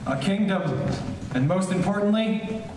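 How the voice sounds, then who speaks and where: Brave young hero for radio serial, played by a man: sincere, slightly high, distant in a heavy echo. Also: animated, a little slow. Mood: earnest resolve. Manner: reassuring, sincere. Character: steady, earnest, brave young male hero. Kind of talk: old-time radio serial acting. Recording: distant, very echoey hall, clear noise.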